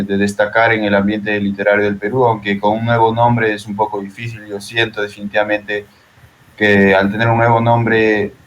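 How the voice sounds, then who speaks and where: A man's voice: fairly low pitch, a little hiss or hum. A man with a Peruvian accent talking casually and reflectively as an interview guest, often checking for agreement. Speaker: interview guest, poet and translator.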